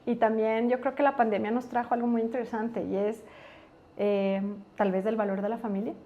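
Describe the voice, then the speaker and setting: A woman's voice: on the high side, a little fast, narrow, tinny audio, a little hiss or hum. Ecuadorian woman telling family stories. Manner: relaxed, anecdotal, thinks aloud. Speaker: guest, company executive. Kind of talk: casual interview storytelling.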